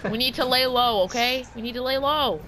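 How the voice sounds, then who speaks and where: A young woman chatting playfully with friends while gaming, joking and yelling when excited. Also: a little high, a little fast, noisy background. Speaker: gamer. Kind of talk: casual gaming chat with friends.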